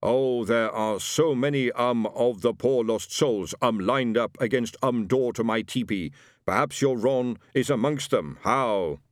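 A man doing a fake, dark-voiced spirit guide. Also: mid-range voice, measured pace, dry recording, clean studio sound, natural voice. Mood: mock-solemn. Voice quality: dark, hesitant. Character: medium faking a solemn male spirit guide.

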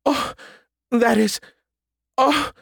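A man's voice: very high-pitched, extremely slow, gravelly, fairly bright tone, husky, no room sound, studio quality, pitched above the performer's own voice, heavily acted. A man voicing a prim, pleasant Southern churchgoing woman, polite and easily flustered, with a Southern accent. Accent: American Southern. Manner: genteel, polite, fluttery.

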